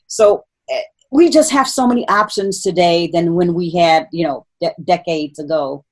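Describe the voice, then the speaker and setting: A woman with a slightly low voice hosts a casual interview. She speaks earnestly, often repeating a phrase to drive it home. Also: normal speed, a little mellow. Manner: earnest, repeating words for emphasis. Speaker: host, interviewer.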